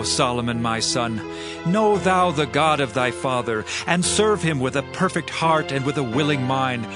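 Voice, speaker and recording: An actor playing a solemn, commanding aging king who addresses his people in measured, emphatic scripture oratory. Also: a little high, lightly acted. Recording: voice over prominent music bed. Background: background music.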